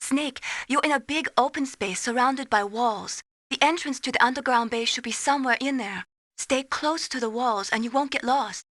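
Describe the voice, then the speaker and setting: A woman voicing an earnest, caring young mission support analyst in a video game, encouraging and gently solicitous. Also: high-pitched, moderate pace, dry recording, clean studio sound.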